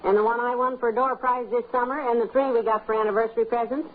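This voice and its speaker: An American woman playing a patient, gently teasing housewife with a dry manner in a 1950s radio comedy. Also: on the high side, fairly even intonation, fast talker, natural voice. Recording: tinny, faintly noisy old radio recording.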